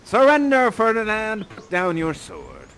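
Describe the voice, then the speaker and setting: Guy doing a bossy stern wizard dad from shakespeare, big pompous declaiming, pitch all over the place. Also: very animated, slow pace, fairly bright tone, slight background noise, exaggerated voice. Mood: commanding. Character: stern, commanding old wizard father, Shakespearean duke.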